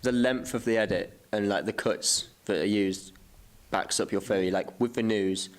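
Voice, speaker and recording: A man's voice: on the low side, steady tone, normal speed. A man on a podcast, talking casually as he discusses a film. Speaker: podcast host.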